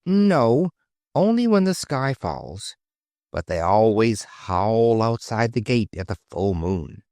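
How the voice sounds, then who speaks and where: A man's voice: on the low side, slow pace, no room sound, heavily acted. A man putting on a young princess, her voice lifted above his own and swinging up and down as she confides and warns. She sounds weary yet sure of herself, and the sound is close and dry. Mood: wary confiding. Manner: confiding, sighing, lively warnings.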